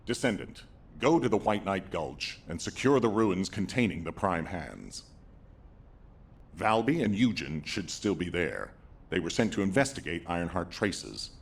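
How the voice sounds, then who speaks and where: Very deep, gravelly military commander. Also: male voice, big pitch swings, exaggerated voice. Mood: firm, deliberate. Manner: authoritative, measured, formal delivery. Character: commanding, resolute older male military leader. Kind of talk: video game voice acting.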